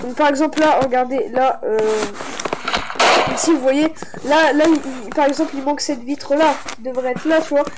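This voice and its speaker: High-voiced young woman with a head cold. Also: fairly even intonation, a little fast, background noise. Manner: rambling, many fillers and restarts. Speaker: gaming YouTuber. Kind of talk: casual game map showcase commentary.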